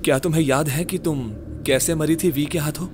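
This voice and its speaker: A man's voice: a little high, fairly quick, background noise, close to an everyday voice. A man playing a bold, exasperated ghost-case solver in a horror-comedy audio drama, blunt and insistent, mixing in English. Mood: calm, persuasive. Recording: music bed behind.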